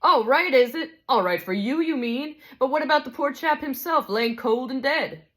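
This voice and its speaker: A woman's voice: fairly high pitch, expressive, normal speed, fairly bright tone, pitched above the performer's own voice. A woman voicing a big, self-righteous male ghost who complains in coarse working-class speech. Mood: indignant.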